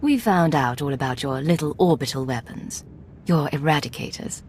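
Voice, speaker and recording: An actress playing a cool, determined imperial secret agent in a video game, with a terse, confrontational manner. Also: female voice, low-pitched, normal speed, darkish tone, slight background noise, lightly acted. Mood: cool resolve.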